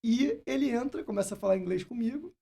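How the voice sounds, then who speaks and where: A man's voice: very high voice, animated, fast, gravelly, mellow. A Brazilian man hosting a podcast, telling stories and putting questions in a casual, reflective way. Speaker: podcast host. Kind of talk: casual conversational interview.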